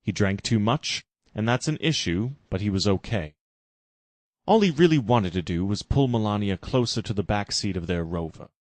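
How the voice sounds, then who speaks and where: A man reading a parody fan story aloud in a deadpan narrating manner. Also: lowish voice, normal speed, no echo. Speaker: reader.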